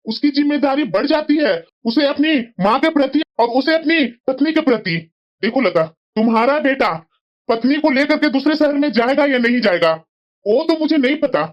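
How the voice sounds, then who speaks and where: A woman's voice: high-pitched, dull audio. A woman voicing a sensible middle-aged husband in a family moral-story cartoon, scolding and lecturing reproachfully. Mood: admonishing.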